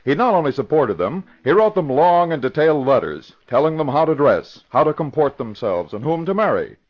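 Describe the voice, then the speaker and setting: A man's voice: a little high, big pitch swings, brisk. An American man reading a scripted old-time radio promotion in a conversational, informative way. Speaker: promo announcer. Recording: dull old radio recording.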